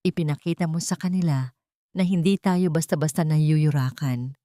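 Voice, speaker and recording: A woman's voice: low-pitched, moderate pace, mellow, no room sound, clean studio sound, natural voice. A woman with a Filipino accent voicing a kindly, steadfast old aunt with a reassuring, firm manner in an audio story. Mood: consoling, proud.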